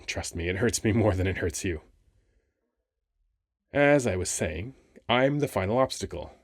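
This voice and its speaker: A man playing a flirty, wry, weary sphinx who guards a temple, talking casually and haltingly in an ASMR roleplay. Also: fairly low pitch, expressive, dry recording, clean studio sound. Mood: wry, bored.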